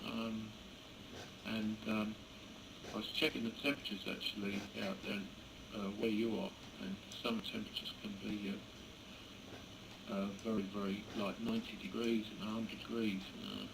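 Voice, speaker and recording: British male ham operator, hesitant and creaky, fairly flat delivery, noisy recording. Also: on the low side. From England. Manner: hesitant, halting. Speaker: amateur radio operator. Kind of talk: casual ham radio chat. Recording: noisy amateur radio contact recording.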